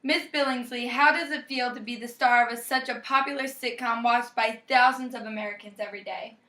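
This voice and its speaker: Bright, high-voiced young woman anchoring news. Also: fairly even intonation, fairly quick. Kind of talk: scripted 1950s-style newscast, student project.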